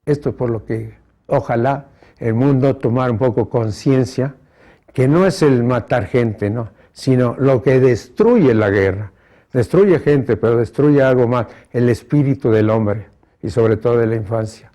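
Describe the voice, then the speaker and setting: A man's voice: mid-range voice, measured pace, warm tone. A man, giving reflective personal testimony. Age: 70s. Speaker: interviewee.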